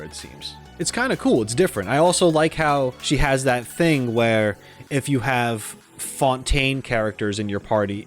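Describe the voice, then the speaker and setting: A man hosting a gaming reaction video, giving casual, informal and expressive commentary. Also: lowish voice. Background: music under the voice.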